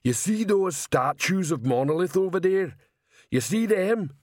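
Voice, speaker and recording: A man voicing a big, gruff, bullying troll police sergeant in a storybook, with a growl, broken grammar and heavy sarcasm. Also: high-pitched, expressive, unhurried, a little mellow, dry recording, studio quality, pitched above the performer's own voice. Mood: threatening.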